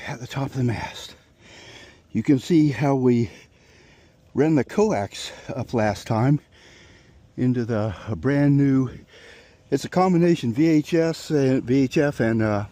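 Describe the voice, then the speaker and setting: A man's voice: mid-range voice, a little slow, pauses often, dark tone, no room sound. A man talking casually and informally as he demonstrates work on his boat, rambling a little to himself. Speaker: sailboat owner demonstrating repairs. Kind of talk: casual vlog talk.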